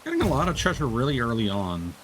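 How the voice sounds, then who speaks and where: A man's voice: mid-range voice, huge pitch swings, fast talker, fairly bright tone, slight background noise, poor audio. A man doing casual live gameplay commentary, chatty and thinking aloud. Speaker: game streamer.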